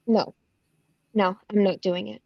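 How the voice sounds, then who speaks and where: A woman's voice: mid-range voice, steady tone, unhurried, dull audio. A woman playing an earnest, conflicted pageant contestant at a screenplay table read. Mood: defensive, pleading.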